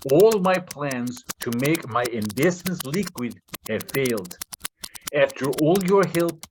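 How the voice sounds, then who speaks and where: A man playing a desperate Russian scammer posing as a loving suitor, composing haltingly aloud in readers theater, on a noisy recording. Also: mid-range voice, fairly bright tone. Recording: noisy recording with clicking keys.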